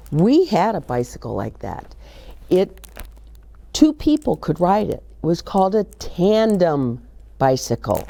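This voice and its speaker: Female children's read-aloud host, older, slow pace with lively swings in pitch, speaking straight to kids. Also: creaky. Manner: expressive, talks directly to young listeners, asks questions. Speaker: host of a children's story time. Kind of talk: read-aloud and chatty book talk for children.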